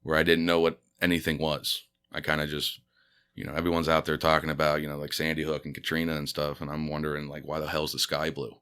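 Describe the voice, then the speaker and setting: A man's voice: extremely low pitch, completely flat delivery, quick pace, bright, forceful tone, clear, resonant tone. A man who grew up speaking Spanish first, a comedian chatting on a podcast in a laid-back, rambling way full of fillers and restarts. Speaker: stand-up comedian guest. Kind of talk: casual podcast chat.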